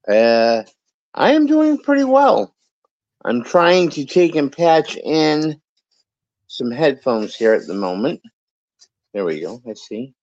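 A man's voice: on the high side, very animated, takes it slowly, long pauses, darkish tone, no room sound, muffled recording. A man joining as a guest psychic, talking casually while he fiddles with his headphones.

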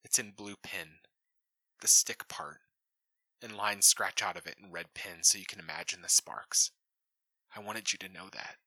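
A man voicing a female mission deputy speaking over a comm line, quick, breathless and sibilant, soft and close to a whisper. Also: low-pitched, slightly rough, dry recording, exaggerated voice, poor audio. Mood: gentle. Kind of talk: audiobook character voice.

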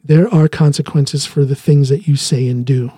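A man's voice: medium pitch, fairly even intonation, moderate pace, dark tone, breathy edge, dry recording, studio quality. A man hosting a solo podcast, talking earnestly in a loose monologue and often restarting his sentences. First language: English. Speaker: podcast host.

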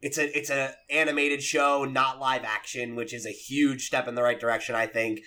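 A man's voice: medium pitch, level tone, moderate pace, bright, forceful tone. A young man hosting a casual chat about games and films, swearing freely and peppering his talk with "like" and "you know". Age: young adult. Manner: frequent "like" and "you know", profane. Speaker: podcast host.

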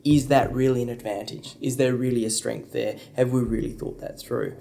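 A man who is a strategy consultant and podcast guest, talking in an animated, conversational way. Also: mid-range voice, normal speed, creaky. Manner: animated, storytelling with acted quotes. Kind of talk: conversational storytelling.

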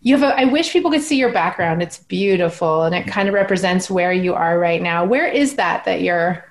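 A woman's voice: on the low side, lively intonation, a little fast. A woman hosting an interview podcast in an informal, enthusiastic and affirming way. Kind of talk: casual interview chat.

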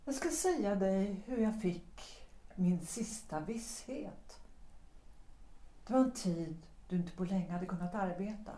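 A woman playing a calmly reproachful singer. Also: medium pitch, lightly acted. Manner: measured, deliberate, quietly reproachful. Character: woman, a former opera singer, calmly accusing. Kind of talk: audio drama acting. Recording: roomy echo.

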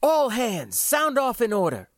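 Brief: male narrator doing a curt, commanding female starship captain, pitched high. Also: huge pitch swings, unhurried, dry recording, clean studio sound, exaggerated voice. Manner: curt, barking orders.

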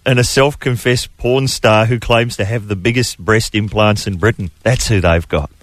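A man's voice: on the low side, moderate pace, dry recording. A middle-aged man with an Australian accent hosting radio banter, reading odd news items with wry asides. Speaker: radio host.

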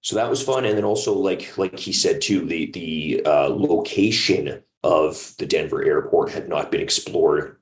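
A man's voice: rumbling low, a little slow, few pauses. A man who co-creates audio drama, chatting keenly in an interview and often checking in with the listener. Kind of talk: interview chat. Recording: roomy, echoing sound.

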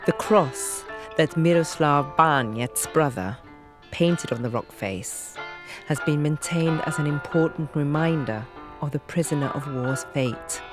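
Slow, sober narrator over music. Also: mid-range voice. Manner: measured, sober. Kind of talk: scripted documentary narration. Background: plucked-string music.

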